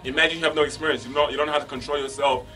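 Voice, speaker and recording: A man jokes his way through a radio talk show, boasting and laughing at his own punchlines. His voice is bright and forceful. He sits a bit back from the mic, with faint music under him. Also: fairly high pitch, fairly even intonation, rapid-fire. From Africa. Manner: joking, boastful storyteller who laughs at his own lines. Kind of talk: casual talk radio banter. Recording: slightly distant mic, faint music behind.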